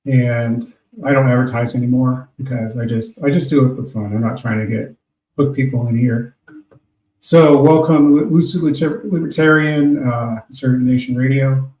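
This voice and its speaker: A man's voice: medium pitch, steady tone, moderate pace, darkish tone, distant mic. A man in his late fifties hosting an internet radio show, rambling casually and reading out listener names. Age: 50s. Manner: meandering, reads out listener names. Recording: echoey room, voice off-mic.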